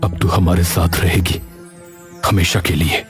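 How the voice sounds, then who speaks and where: Man acting low-voiced, earnest distraught father. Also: little pitch movement, unhurried, faint music behind. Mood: tender. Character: distraught, indignant young father. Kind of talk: cartoon moral story acting.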